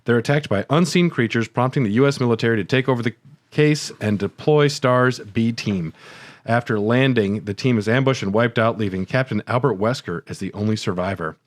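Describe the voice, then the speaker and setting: A man's voice: mid-range voice, fairly quick. A man co-hosting a podcast, reading a summary with wry, playful asides. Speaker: podcast co-host.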